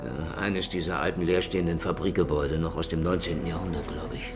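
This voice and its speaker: A man's voice: low-pitched, fairly even intonation, fast, music in the background. A man playing a hot-headed, defensive ex-convict in a crime radio drama, speaking emphatically and argumentatively. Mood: grudging.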